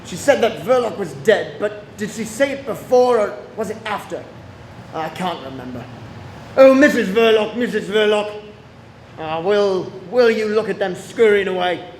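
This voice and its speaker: A man's voice: high voice, animated, moderate pace. A man playing a brusque, inquisitive police inspector with a wry streak in a radio drama. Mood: wry, musing. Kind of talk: radio play acting. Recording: echoey room with faint noise.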